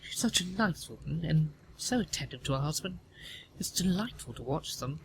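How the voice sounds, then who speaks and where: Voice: mid-range voice, expressive, moderate pace, close to an everyday voice. Male actor as imperious older aristocratic woman, disapproving, formal, pompous, audio play, faint background noise. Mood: gossipy, stately. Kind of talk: audio play comedy of manners.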